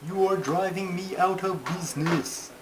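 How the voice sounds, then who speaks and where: A man's voice: sits high, normal speed, lightly acted. A man voicing a pompous, schoolmasterly turtle puppet who tells stories in a ponderous, formal, easily put-out way. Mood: grave storytelling. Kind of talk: comic scripted storytelling. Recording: noisy amateur home recording.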